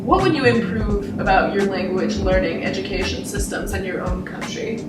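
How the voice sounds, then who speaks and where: A woman's voice: medium pitch, moderate pace. Young woman host, upbeat, laughs easily; echoey room, distant mic. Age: young adult. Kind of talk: casual panel talk. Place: lounge with large windows. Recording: echoey room, from far off, music behind.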